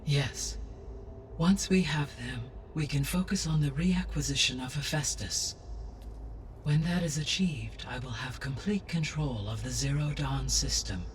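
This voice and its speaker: An actor voicing a calm, formal artificial intelligence in a video game, precise and measured in his explanations. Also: mid-range voice, recorded from a distance, a little hiss or hum, lightly acted. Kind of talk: video game dialogue, expository. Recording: music playing behind the voice.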